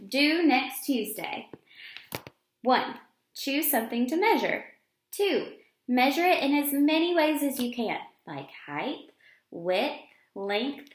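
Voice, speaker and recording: A woman reads a children's picture book aloud in a fairly high voice that swoops expressively. She takes her time and stresses key words deliberately. The sound is roomy and echoey. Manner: deliberate, emphatic phrasing.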